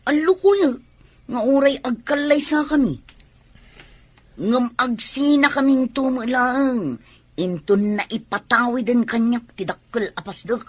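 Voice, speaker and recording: A woman's voice: a little high, a little slow, dry recording. A Filipino actress playing a brash, scheming young tomboy woman in a radio soap opera.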